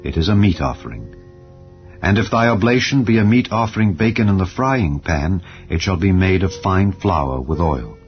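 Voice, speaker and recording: A man with a very low voice reads scripture slowly and solemnly. The audio is a little dull and muffled, with soft music drifting underneath. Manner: slow, measured, solemn. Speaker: Bible narrator. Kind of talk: dramatized scripture reading. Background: soft ambient music.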